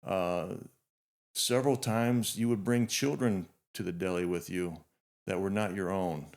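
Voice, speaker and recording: A man's voice: deep voice, a little slow, a little mellow. A fifty-year-old man hosting a podcast, talking earnestly and reflectively to his guest. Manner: earnest, reflective, frequent restarts. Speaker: podcast host. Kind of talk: heartfelt interview introduction.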